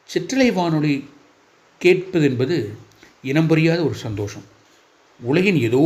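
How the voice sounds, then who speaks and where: Guy, slightly high voice, hosting a radio style show, formal n deliberate, lots of little pauses. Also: darkish tone. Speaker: host of a radio hobby programme. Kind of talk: presenting an informational radio-style programme.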